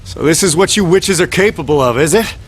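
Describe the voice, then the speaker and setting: A woman's voice: lowish voice, expressive, brisk, slightly rough, in a large hall. A woman playing a tough, wry fighter in a video game, with a dry, blunt way of talking. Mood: wry, wary.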